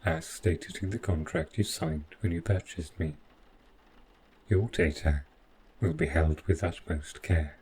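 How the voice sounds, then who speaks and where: A very deep-voiced robot butler, acted out by a man, calm and devoted. He speaks in stiff, precise, deferential phrases, like a servant in a sci-fi audio drama. A steady noise sits behind the voice. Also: measured pace. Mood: apologetic, formal. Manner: formal, stiffly precise, deferential, avoids contractions. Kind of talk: scripted roleplay audio drama.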